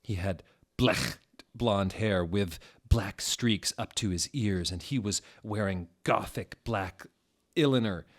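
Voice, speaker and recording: A man's voice: on the low side, takes it slowly. A man narrating fan fiction as a comedic dramatic reading, in a theatrical, mock-serious manner.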